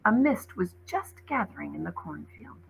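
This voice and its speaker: A woman reading a children's novel aloud as an expressive storyteller, like a teacher reading to a class. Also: lowish voice, normal speed, vocal fry, background noise.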